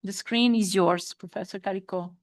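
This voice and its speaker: A woman moderating an online workshop, reading a speaker's introduction in a formal, measured way with an occasional filler. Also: medium pitch, steady tone.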